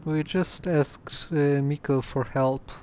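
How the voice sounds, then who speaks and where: A man's voice: fairly high pitch, steady tone, slow, a little mellow, slight background noise. A man who streams games, giving casual live reactions as he plays. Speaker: game streamer.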